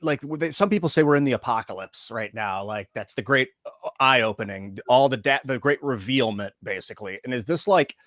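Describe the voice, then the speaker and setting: A man hosting a podcast interview from a closed private room, asking questions in a halting way, searching for words. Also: mid-range voice, a bit bright, no echo, clean studio sound. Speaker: podcast host.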